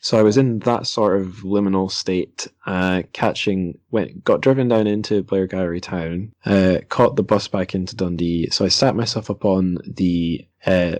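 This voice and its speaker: Low-voiced young man, dry sound. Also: measured pace, hardly any pauses, a little mellow, no echo. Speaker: podcast host. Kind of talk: casual book discussion, anecdotal.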